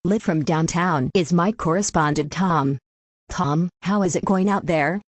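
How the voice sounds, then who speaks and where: A woman's voice: lowish voice, a little slow, no room sound. A woman playing a composed local TV news anchor, reading a scripted newscast.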